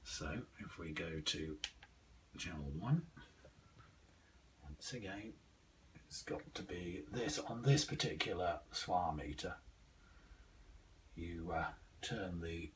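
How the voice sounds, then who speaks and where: A man giving a casual, halting, unhurried hands-on demonstration, thinking aloud as he goes. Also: low voice, far from the mic, a little hiss or hum. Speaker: radio hobbyist reviewer.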